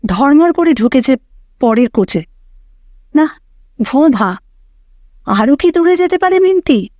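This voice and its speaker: A woman narrating a story as an audiobook reader, in an expressive, dramatic way that brings out the characters' thoughts. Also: high voice, unhurried, dry recording.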